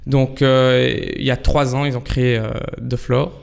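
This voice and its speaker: A man in his thirties with a Belgian accent, answering interview questions in a halting, conversational way. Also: mid-range voice, flat delivery, gravelly, clean tone. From Antwerp, Belgium. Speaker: fintech professional, interview guest. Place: broadcast studio.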